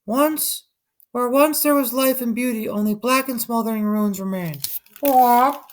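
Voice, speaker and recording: A man's voice: extremely high pitch, unhurried, pitched above the performer's own voice. A man voicing a toucan who squawks shrilly and quacks between phrases. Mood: urgent.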